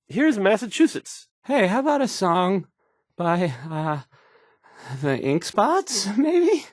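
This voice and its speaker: A man's voice: sits high, sing-song, slow, pauses often, no echo, studio quality, full character voice. A man playing an awkward, nervous young radio DJ in a video game, stammering, flustered and full of self-doubt as he trails off. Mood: awkward, unsure. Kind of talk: scripted game voice acting, halting radio patter.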